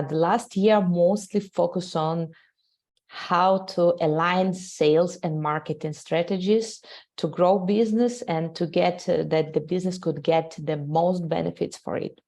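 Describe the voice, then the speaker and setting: Ukrainian-accented woman hosting a webinar, gravelly. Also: fairly low pitch, a little mellow. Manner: halting, many "uh" fillers, non-native grammar. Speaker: webinar host, sales executive. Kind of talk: live webinar presentation.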